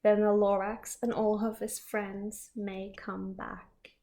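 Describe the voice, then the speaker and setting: A woman teacher reading a picture book aloud to children, lively and expressive. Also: medium pitch, slow pace, noisy background. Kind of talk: storybook read-aloud.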